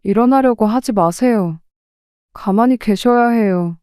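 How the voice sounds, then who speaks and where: A woman's voice: on the high side, unhurried, dark tone, clean tone, dry recording, clean studio sound, close to an everyday voice. A woman playing a devoted adult daughter, earnest and protective toward her mother, in an audio drama. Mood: earnest, worried.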